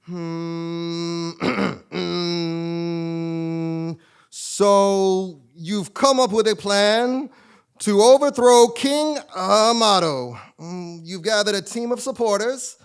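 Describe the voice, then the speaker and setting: A man playing a high-pitched, theatrical comic sage. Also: clear, resonant tone, exaggerated voice. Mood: mystical pondering. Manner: melodramatic, with drawn-out pondering hums and sudden cries. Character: eccentric, theatrical self-styled male sage and fortune teller. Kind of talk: comic stage acting before a live audience. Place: theatre stage, live performance.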